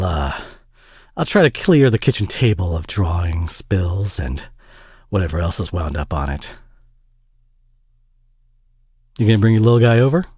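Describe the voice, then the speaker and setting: Low-voiced, flustered Southern single dad, acted by a man. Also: a little mellow, husky, no echo, studio quality, heavily acted. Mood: warm, bashful. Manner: folksy, hesitant, chuckling drawl with pet names. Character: warm, flustered, tired Southern single dad, gently flirty. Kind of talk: intimate audio roleplay drama.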